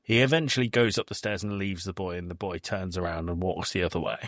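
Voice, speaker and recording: A man's voice: low-pitched, steady tone, fast talker, fairly bright tone, no room sound, studio quality. A man hosting a podcast, recapping a film in casual discussion and often repeating words as he thinks. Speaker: podcast host.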